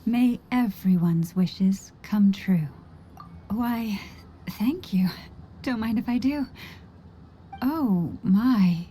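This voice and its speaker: Slow, gentle, demure young lady. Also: fairly high pitch. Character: demure, sincere, gentle young woman of simple tastes. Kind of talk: video game voice acting.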